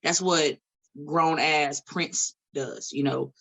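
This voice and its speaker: A woman's voice: low voice, fairly even intonation, takes it slowly, vocal fry, fairly bright tone, rough recording. A woman in her late thirties, a guest on a podcast, talking bluntly and with lots of swearing in a casual chat. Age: 30s. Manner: blunt and profane, preachy asides. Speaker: guest, independent wrestler. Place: studio table.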